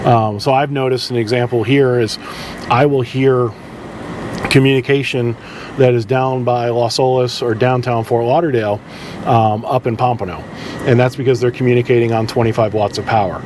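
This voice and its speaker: A man talks through practical tips in a casual vlog style. His mid-range voice moves in a halting, conversational way. A low ambient drone sits faintly behind the speech. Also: fairly even intonation, background noise. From southeastern United States. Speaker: charter boat captain presenting tips.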